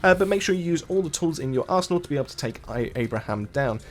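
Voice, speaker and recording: A man's voice: on the high side, animated, fast, a little hiss or hum. A man hosting a gaming channel, narrating a casual walkthrough in a wordy way, often rephrasing himself as he explains.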